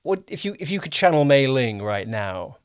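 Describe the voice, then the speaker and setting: A man's voice: medium pitch, sing-song, normal speed, clean tone, dry recording. Guy hosting a podcast, mid voice, chatty, rewords his questions, dry close sound. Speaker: podcast host and producer. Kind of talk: casual interview chat.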